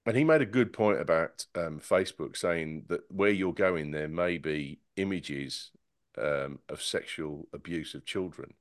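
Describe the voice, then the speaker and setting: A man on a casual tech podcast panel, talking in an animated, sarcastic way with many stumbling restarts. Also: sits low, a little slow, dry recording, studio quality.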